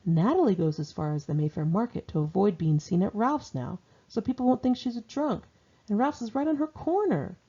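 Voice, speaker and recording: Husky, mellow woman reading her memoir. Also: mid-range voice, lively intonation, fairly quick. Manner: wry, sardonic, conversational delivery. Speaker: author reading own memoir aloud. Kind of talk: informal audiobook narration of diary entries. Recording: dull lo-fi home recording.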